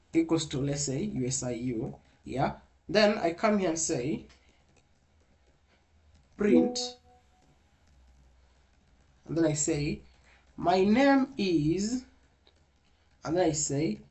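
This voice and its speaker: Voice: medium pitch, a little mellow. A programming instructor teaching an online coding class over a video call, patiently walking through each step and repeating points.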